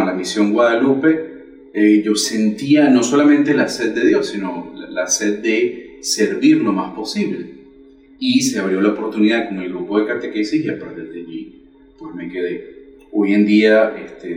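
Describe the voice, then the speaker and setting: A man in his forties gives an earnest, measured account of his faith as a guest. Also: fairly low pitch, reverberant, distant mic, low-quality recording. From Venezuela. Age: 40s. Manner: earnest, measured storytelling with pauses. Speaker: catechist guest. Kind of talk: personal faith testimony.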